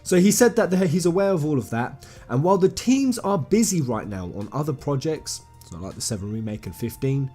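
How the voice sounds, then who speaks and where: A man with a fairly high voice and very lively, swinging intonation hosts a gaming channel. He gives informal, emphatic opinions in slangy language, gushing like a devoted fan, with faint music behind him. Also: brisk. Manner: emphatic, slangy, addressing viewers as a group; effusive fan enthusiasm. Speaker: video game channel host. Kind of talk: informal opinion commentary to viewers.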